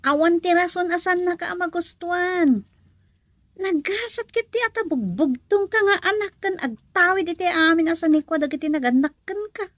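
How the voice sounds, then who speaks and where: A woman with a Filipino accent acting a teasing, frank and expressive young confidante in a radio drama. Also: very high-pitched, moderate pace, no room sound.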